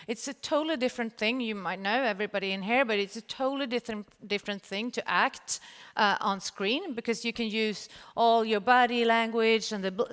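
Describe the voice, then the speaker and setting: A woman's voice: medium pitch, fairly bright tone, clean tone. A woman giving a conference presentation as a producer. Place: conference hall stage. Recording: reverberant hall, stage sound system.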